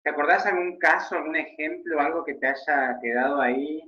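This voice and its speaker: Host in a roomy, muffled space. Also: male voice, fairly high pitch, fairly even intonation, normal speed, bright, forceful tone. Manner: encouraging, prompting the guest with short reactions. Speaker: podcast host. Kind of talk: interview questions.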